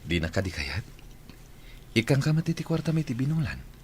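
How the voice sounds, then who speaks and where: A man's voice: mid-range voice, very animated, brisk, a little mellow, lightly acted. A man acting in a radio drama as a domineering businessman who coaxes and bosses a younger woman. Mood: coaxing, insistent. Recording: noisy recording with background ambience.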